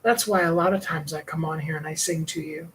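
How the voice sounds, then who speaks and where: A middle-aged man hosts his own livestream, and his speaking voice sits at a light tenor that runs a little high for a man. He talks in a rambling, heartfelt way, with plenty of "you know" and quick small laughs. A faint hum of room ambience sits under him. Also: steady tone, fairly bright tone, poor audio. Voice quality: higher-pitched tenor voice. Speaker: livestream host and singer. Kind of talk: reflective personal livestream talk.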